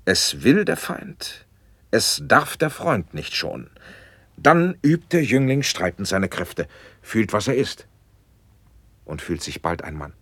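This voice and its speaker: An actor playing an impatient, commanding prince in a classic German radio play, speaking stately verse with elevated rhetoric. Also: male voice, on the low side, sing-song, takes it slowly, fairly bright tone, husky, no echo, full character voice. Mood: firm, resolute.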